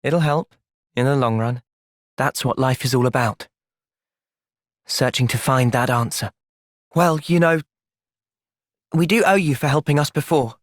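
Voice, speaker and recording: An actor playing an earnest, thoughtful young soldier in a video game, soft-spoken and sincere but resolute. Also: male voice, medium pitch, measured pace, pauses often, dry recording, clean studio sound, close to an everyday voice. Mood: calm, thoughtful. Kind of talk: video game cutscene acting.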